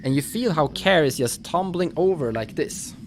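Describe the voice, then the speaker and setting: A man running a tabletop roleplaying game, narrating the action off the cuff in a halting, thinking-aloud way. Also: fairly high pitch, animated. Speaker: game master. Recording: faint noise, live stream audio.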